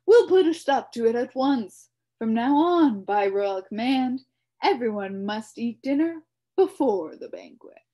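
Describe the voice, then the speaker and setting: A gloomy old king barks royal commands in a loud, regal voice, as a woman reading a storybook puts him on. The delivery is slow and sweeping, rising and falling dramatically. It keeps a mellow, rounded tone. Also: a little high, very animated, pitched above the performer's own voice, heavily acted.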